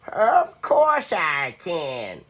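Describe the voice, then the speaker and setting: An elderly grandmother possum from a children's storybook, acted out by a man. The voice is high and strained, swooping widely as it exclaims. She draws her words out with excitable, kindly encouragement. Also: drawn-out words, heavily acted. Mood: reassuring.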